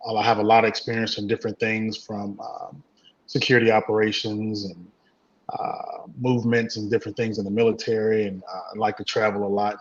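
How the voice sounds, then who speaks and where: Husky low-voiced man, flat and hesitant. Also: dull audio. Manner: hesitant, many uh and um fillers. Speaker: guest, trading community co-founder. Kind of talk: casual interview answers.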